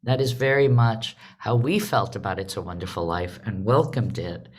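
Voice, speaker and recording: A woman speaking as a theatre director and host, welcoming an online audience on a video call in a measured way with pauses between phrases. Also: extremely low pitch, slightly rough.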